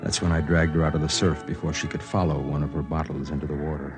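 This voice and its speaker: A very deep-voiced, brooding man. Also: flat delivery, fast. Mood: somber narration. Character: brooding, principled man, gentle narrator of his own story. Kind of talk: 1950s radio drama acting and first-person narration. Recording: vintage radio drama recording with music behind.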